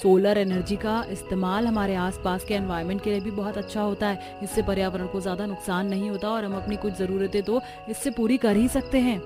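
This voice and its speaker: A woman voicing earnest, plaintive village housewives and mothers-in-law in a cartoon story, with an expressive, emphatic delivery. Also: medium pitch, a little fast, hardly any pauses, music in the background, close to an everyday voice. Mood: earnest explaining.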